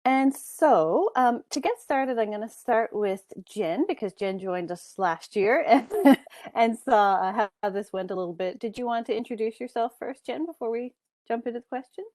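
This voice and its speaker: A woman's voice: a little high, lively intonation, normal speed, darkish tone, no echo. A woman moderating an online panel, welcoming and introducing each panelist with frequent "um"s. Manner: friendly, filler-heavy introductions.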